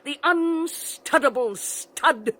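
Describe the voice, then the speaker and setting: An actress playing a domineering, cruel wife who mocks with theatrical scorn and cackling laughter in a radio drama. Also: female voice, sits high, takes it slowly, a bit bright. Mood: scornful. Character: domineering wealthy wife, cruel, mocking, triumphant. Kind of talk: 1980s radio drama acting.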